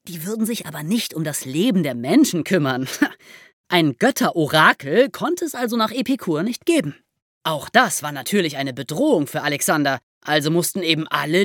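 Lower-voiced, very animated woman podcaster. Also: dry recording, clean studio sound. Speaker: podcast host telling history stories. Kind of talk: lively explanatory storytelling in conversation.

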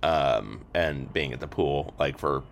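A man in his thirties hosting a casual sports podcast, slightly hoarse, rambling with lots of fillers and restarts. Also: rumbling low, slow pace, highs cut off. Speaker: podcast host and sports reporter.

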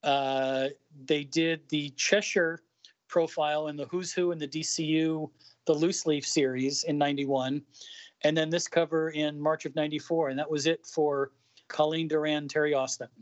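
A man with a fairly high voice, sharing trivia on a podcast in a hesitant way with many fillers. The sound is dry and a little dull. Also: fairly even intonation, moderate pace, no echo. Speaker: podcast co-host. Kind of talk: casual chat.

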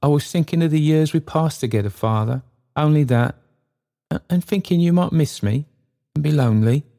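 A man voicing a tender young woman who fondly scolds her father, raised well above his own low voice. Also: normal speed, gravelly, dark tone. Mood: wistful. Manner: affectionate, scolding.

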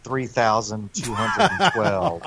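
A man calling into a podcast over a video call, telling road-trip stories with deadpan jokes and chuckles. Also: fairly low pitch, takes it slowly, noisy background. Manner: deadpan joking, laughs at own stories. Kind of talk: casual chat.

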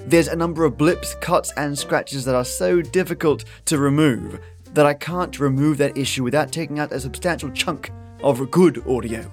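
A man's voice: on the high side, normal speed. A man hosting a podcast, giving a casual, scripted introduction in an enthusiastic, chatty manner. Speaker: podcast host. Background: background guitar music.

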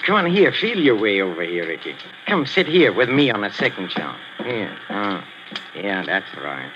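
An actor playing a sinister, fawning old boxing trainer who talks softly and giggles, in a 1940s radio drama. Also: male voice, on the low side, big pitch swings, a little slow. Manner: soft, ingratiating, giggling, menacing undertone. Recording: hissy lo-fi old radio recording.

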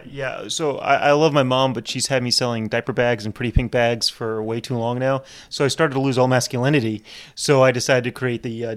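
Casting: American male guest from New Orleans, mid-pitched, joking and self-deprecating, loose conversational delivery. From New Orleans, United States. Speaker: family business worker, interview guest. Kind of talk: casual chat.

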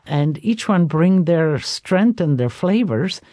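A woman's voice: low-pitched, animated, slow, mellow, dry recording, studio quality. An older woman chef from Istria with an Italian accent, telling her story in a radio interview, with many fillers. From Istria, Italy/Croatia. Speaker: chef, guest.

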